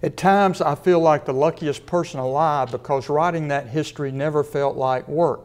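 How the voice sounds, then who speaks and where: A man in his sixties from Mississippi, a sports writer delivering a prepared acceptance speech in a measured way with a dry wit. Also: on the high side, steady tone, a little mellow. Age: 60s. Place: studio with a sponsor backdrop.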